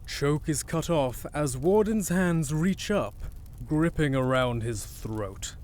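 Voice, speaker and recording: A man's voice: on the high side, animated, takes it slowly, a little mellow, a little hiss or hum. A man reading dramatic scripted narration for an audio drama as its narrator.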